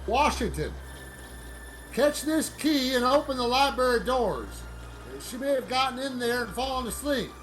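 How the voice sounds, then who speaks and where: Casting: a man to play an anxious head of household for radio drama, authoritative, brisk, very varied pitch. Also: animated, a bit bright, a little hiss or hum, rough recording. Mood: commanding, anxious. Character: commanding, worried middle-aged father of the household. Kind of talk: theatrical radio play acting.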